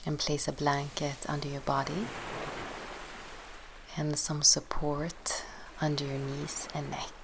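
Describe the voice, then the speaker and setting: Slow, low-voiced woman guiding relaxation. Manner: soothing, soft, breathy instruction with long pauses. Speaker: yoga relaxation guide. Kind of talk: guided relaxation meditation. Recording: steady ocean-wave noise behind voice. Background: sound of sea waves.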